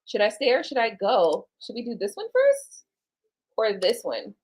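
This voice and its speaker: A woman's voice: a little high, huge pitch swings, normal speed, darkish tone, husky. A woman reading tarot cards on a casual live stream, rambling and chatting to her viewers and to spirit. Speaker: tarot card reader.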